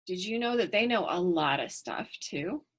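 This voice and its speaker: A woman's voice: on the low side, expressive, moderate pace. A woman reading a children's story expressively, with chatty asides to young listeners. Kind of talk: expressive picture-book read-aloud with chatty asides to children.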